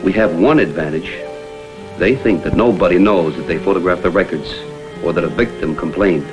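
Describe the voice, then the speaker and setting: A man's voice: low voice, normal speed, natural voice, poor audio. Man playing a blunt vintage TV detective, faint music. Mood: steady, cautioning. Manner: clipped, authoritative, businesslike. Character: tough, principled hired investigator, firm and blunt. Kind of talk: vintage American TV detective drama acting.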